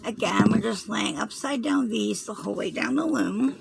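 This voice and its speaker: A hoarse woman with a Southern US accent, rambling through a craft tutorial and often clearing her throat as she fights a cold. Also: medium pitch, moderate pace, noisy background. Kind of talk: chatty loom tutorial.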